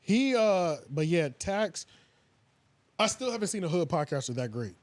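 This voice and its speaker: An American man hosting a casual podcast, talking in an animated, slangy and emphatic way. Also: sits high, moderate pace, dry recording. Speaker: podcast host.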